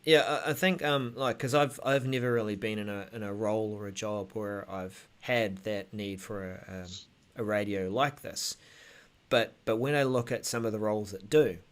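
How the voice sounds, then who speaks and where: A man's voice: fairly low pitch, moderate pace, clear, resonant tone. A man co-hosting a casual tech show, explaining things tentatively as he thinks aloud.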